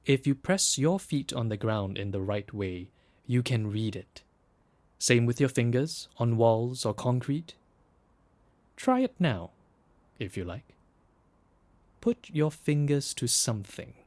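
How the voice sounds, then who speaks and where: A man acting as a solemn guide in an audio drama, giving deliberate, hushed, poetic instructions. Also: medium pitch, animated. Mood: hushed, contemplative. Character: solemn male guide instructing an archival recorder in ruins. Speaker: narrator guide. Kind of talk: scripted audio drama narration.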